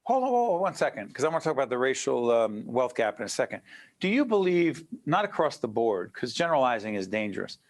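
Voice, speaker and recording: A man from Newark, New Jersey, hosting an interview in an animated way, cutting in and firing off rapid questions. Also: medium pitch. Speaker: interview host. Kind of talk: conversational interview.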